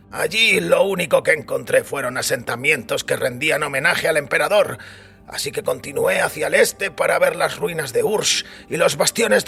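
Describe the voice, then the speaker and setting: An actor playing a weary older priest who recounts a wild youth in a wry, reflective way, with a raspy voice. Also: male voice, fairly high pitch, pushed and bright, full character voice. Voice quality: raspy, gravelly. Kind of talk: dramatised audiobook acting. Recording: music bed under the voice.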